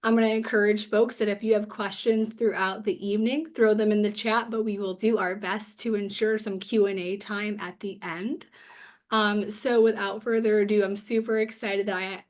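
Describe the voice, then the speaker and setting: A young woman with a raspy voice from a cold, hosting an online forum with chatty, upbeat talk and many fillers. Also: medium pitch. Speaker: host. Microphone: video call.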